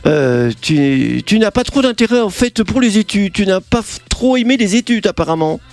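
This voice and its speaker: A man's voice: sits high, lively intonation, normal speed, soft background music. A man with a Brussels Belgian accent hosting a casual interview, chatty and enthusiastic. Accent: Brussels Belgian French. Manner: chatty and enthusiastic, frequent exclamations. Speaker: radio host.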